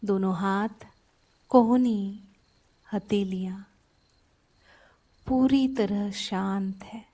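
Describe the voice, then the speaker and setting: A woman softly guides a sleep meditation in a soothing, unhurried way, pausing between short phrases. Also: mid-range voice, steady tone, slightly rough.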